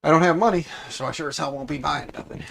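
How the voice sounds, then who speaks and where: Congested raspy man rambling on a livestream. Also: fairly high pitch, quick pace, a bit bright, slight background noise. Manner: rambling, repeats himself, talks to chat; mild swearing, offhand. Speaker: livestream host. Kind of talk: casual livestream chat.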